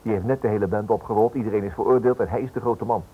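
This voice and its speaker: An actor playing a stubborn, inquisitive husband turned amateur investigator in a radio play, insistent and dismissive. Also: male voice, on the low side, fairly even intonation, rapid-fire, breathy edge. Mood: placating. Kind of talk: Dutch radio play acting. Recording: muffled, band-limited old radio play recording.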